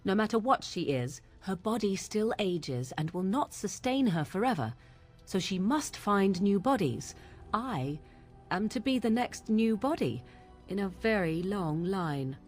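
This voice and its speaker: An actress playing a proud, brooding young witch in a fantasy video game, with formal, archaic and deliberate diction. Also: mid-range voice, big pitch swings, soft background music. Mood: uneasy, brooding. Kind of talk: video game dramatic dialogue.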